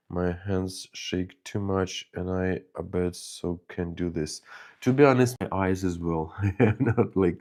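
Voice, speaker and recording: A man from Ukraine with a Ukrainian accent, hosting a casual miniature painting stream and thinking aloud in a soft, halting way as he works. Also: deep voice. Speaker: miniature painting channel host. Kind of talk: casual live painting chat.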